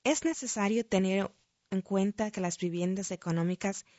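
The woman you would hear reading an official printed guide aloud for an audio edition, formal and measured, in a small dead-sounding booth with slightly dull sound. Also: mid-range voice, darkish tone, no room sound. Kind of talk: scripted narration, read-aloud questionnaire.

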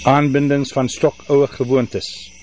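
A man's voice: medium pitch, measured pace. An older man who hosts a radio show and performs poetry, talking and reciting in a literary storyteller's style. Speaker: radio host and poet. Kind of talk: casual introduction and dramatic poetry recital. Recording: steady hum and background noise.

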